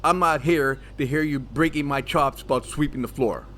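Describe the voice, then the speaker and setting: A man's voice: a little high, moderate pace, a bit bright, natural voice. A man playing a sarcastic, defensive, grumpy gambler in a scripted comedy audio drama. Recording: clear background ambience noise.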